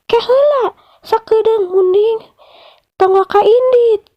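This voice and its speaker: A sly, hungry crocodile from a children's fable, acted out by a woman storyteller, pleads in a very high voice. The recording is roomy and slightly muffled. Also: takes it slowly. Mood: pleading, detaining. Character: sly, hungry crocodile who pleads, then turns treacherous.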